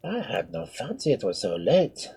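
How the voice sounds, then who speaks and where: A man voicing a portly, cheerful Irish friar with a brogue, loud and fussing. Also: medium pitch, wildly swooping pitch, moderate pace, gravelly, slightly rough, full character voice, low-quality recording. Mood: fatherly coaxing. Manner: loud, bustling, fretful.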